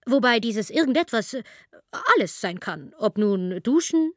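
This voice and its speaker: A woman's voice: fairly high pitch, lively intonation, normal speed, no room sound, lightly acted. A woman playing a sardonic lecturer in a radio play, matter-of-fact and drily ironic. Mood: dry, ironic. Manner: matter-of-fact, dry irony. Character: sardonic female lecturer on rule and power.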